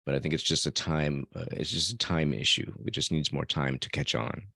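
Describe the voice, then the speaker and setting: A man's voice: a bass voice, fairly even intonation, brisk, vocal fry, bright, forceful tone, dry recording. A man from El Salvador, a podcast host being interviewed, answering in a reflective way with some fillers. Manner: reflective, thinks aloud with fillers. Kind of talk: casual interview conversation.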